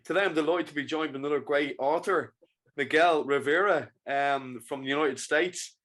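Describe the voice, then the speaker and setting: A man hosting a casual podcast chat, his voice a little higher than most men's. He is upbeat and quick to praise. His questions stop and restart along the way. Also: a bit bright. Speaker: podcast host.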